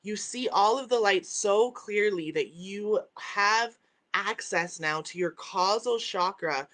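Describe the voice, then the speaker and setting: A woman's voice: medium pitch, slow, a bit bright. A woman hosting a spiritual live stream from home, teaching with emphatic repeated phrases and a habit of asking "right?". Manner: reads out and answers viewer comments. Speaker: host. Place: at home by a window, with dogs in the house.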